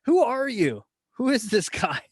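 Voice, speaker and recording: A man hosting a podcast interview, asking questions with enthusiastic, quick reactions. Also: very high voice, very animated, slightly rough, no room sound, studio quality. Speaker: podcast host. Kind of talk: casual interview chat.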